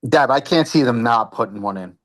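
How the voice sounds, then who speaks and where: A man from parks maintenance answering questions at a meeting, halting and repeating himself. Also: medium pitch, expressive, crowd noise.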